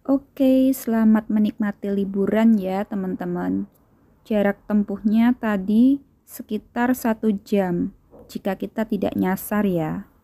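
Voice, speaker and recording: A woman's voice: mid-range voice, fairly even intonation, a little slow, creaky, dark tone, no room sound. A woman narrating a hiking vlog. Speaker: vlogger.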